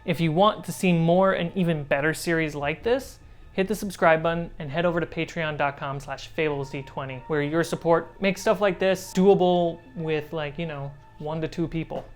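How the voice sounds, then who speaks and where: Low-voiced woman chatting as game master. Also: steady tone, normal speed, faint music behind. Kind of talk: casual post-game discussion.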